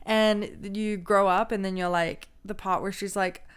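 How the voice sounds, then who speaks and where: A young woman with a low, loud voice, co-hosting a casual marriage advice chat and telling stories animatedly. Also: unhurried, smooth. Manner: animated storytelling, acts out voices and quotes with laughs. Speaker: co-host of a marriage advice channel.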